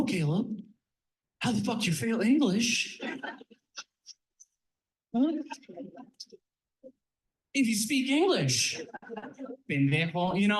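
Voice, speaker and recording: A man's voice: sits high, rough recording. A man whose first language is Spanish, a comedian telling a stand-up story with mock arguments and cursing punchlines.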